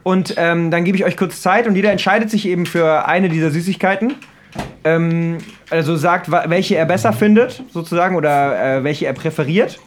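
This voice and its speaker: A young man co-hosting a podcast in casual chat, explaining things in a halting, loosely phrased way. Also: on the high side, slight background noise. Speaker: podcast co-host. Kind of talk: casual chat among friends.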